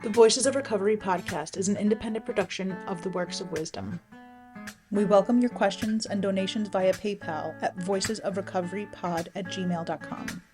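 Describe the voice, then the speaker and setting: Lowish-voiced woman announcer over guitar music. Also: normal speed. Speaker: podcast announcer. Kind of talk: scripted intro and disclaimer narration. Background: plucked guitar music.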